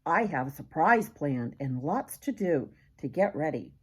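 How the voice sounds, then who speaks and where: A woman voicing a kindly, busy man looking after someone in a children's storybook, speaking in a gentle, explanatory way. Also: deep voice, big pitch swings, a little slow, breathy edge, exaggerated voice. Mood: kindly instructing.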